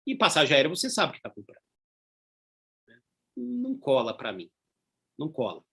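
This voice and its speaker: A man's voice: on the high side, huge pitch swings, normal speed, creaky, fairly bright tone, slightly rough. A middle-aged man with a Brazilian Portuguese accent giving a conversational law lecture, full of tag questions and asides. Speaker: law professor.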